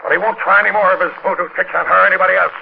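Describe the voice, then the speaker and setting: A man's voice: high-pitched, fairly even intonation, racing, creaky, slight background noise. A man playing an agitated, short-tempered character in an urgent 1940s radio horror drama. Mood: tense. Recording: muffled 1940s radio recording.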